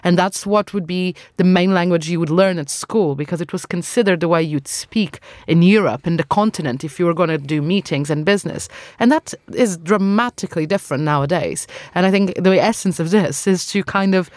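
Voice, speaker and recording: A woman's voice: lowish voice, a little fast, few pauses, a little mellow, dry recording. A woman from Portugal, a guest on a radio panel, speaking thoughtfully with small hesitations.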